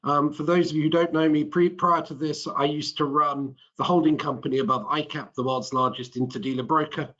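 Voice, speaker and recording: A middle-aged man, a chief executive, presenting to a business webinar in a measured corporate manner. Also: fairly high pitch, steady tone, a bit bright. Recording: muffled webinar audio.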